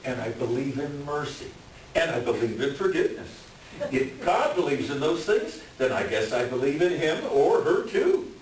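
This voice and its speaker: A man playing a hapless middle-aged swindler doctor in a staged reading, pleading and self-deprecating, with a halting, wheedling delivery. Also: fairly high pitch, very animated. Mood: earnest pleading. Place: echoey room, far from the mic. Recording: distant echoey room with hiss.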